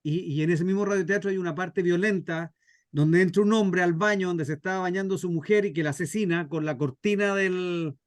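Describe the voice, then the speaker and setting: Chilean man with a higher-pitched voice, teaching informally. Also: brisk, no echo. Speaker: scriptwriter leading a radio drama workshop. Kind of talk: informal explanatory teaching with anecdotes.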